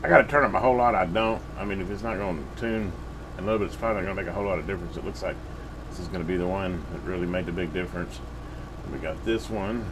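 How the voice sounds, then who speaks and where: A man's voice: low voice, very fast, noisy background. A man casually reviewing a radio at his workbench, rambling with lots of fillers and restarts.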